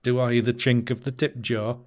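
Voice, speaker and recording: A man's voice: medium pitch, flat delivery, normal speed, a little mellow. A man hosting a live stream, chatting casually and rambling while he edits photos, often laughing and answering comments from chat. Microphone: new studio microphone.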